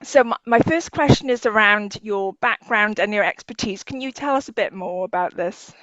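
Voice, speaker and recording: Lady hosting an interview, smooth clear voice, bit muffled, no room echo. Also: medium pitch, moderate pace, fairly bright tone. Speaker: interviewer, host. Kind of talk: semi-formal interview hosting.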